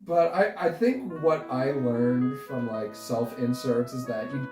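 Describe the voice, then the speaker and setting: A young man chatting casually as an interview guest, rambling and halting as he talks. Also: medium pitch, darkish tone. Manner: rambling, halting, chuckles often. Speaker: interview guest, online animation creator. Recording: roomy echo, distant mic, music behind.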